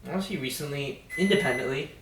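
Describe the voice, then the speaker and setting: A man's voice: medium pitch, fast talker, noisy background. A man in his twenties from India, Australia and New York, chatting casually and slangily as he reflects, often repeating himself. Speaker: podcast guest. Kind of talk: casual chat, personal reflection. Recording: echoey room, distant from the mic.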